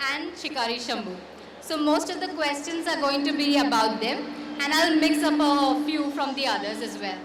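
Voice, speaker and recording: A woman hosting a live quiz at an event, putting questions to the audience. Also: sits high. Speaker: magazine editor and quiz host. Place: comic convention event. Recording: echoey noisy crowded hall, distant pickup.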